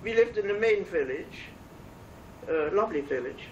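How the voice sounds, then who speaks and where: An older woman from the Netherlands, speaking English with a Dutch accent, recalls her past in an oral history interview, pausing often with fillers. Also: mid-range voice, expressive, roomy sound, highs cut off. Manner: halting, many pauses and fillers. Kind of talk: reminiscing conversation. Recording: distant mic, faint noise.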